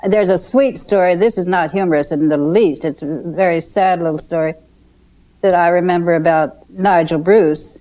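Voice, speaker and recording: An older woman fondly reminiscing in a halting, unhurried way, repeating words for emphasis. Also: lowish voice, dark tone, low-quality recording. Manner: halting, fond, emphatic repetition. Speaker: reminiscing guest host.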